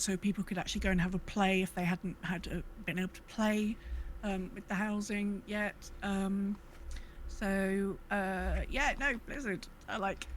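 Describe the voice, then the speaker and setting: A woman co-hosting a podcast in casual, halting talk, often rephrasing as she goes. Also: medium pitch, a bit bright, slight background noise. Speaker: podcast co-host. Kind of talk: casual chat and informal news round-up.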